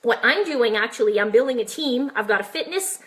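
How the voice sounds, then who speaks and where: A woman's voice: a little high, lively intonation, a little fast, fairly bright tone. A woman with a French Canadian accent coaching network marketers in a chatty, direct live-stream talk, often checking in with "right?" and questions to her viewers.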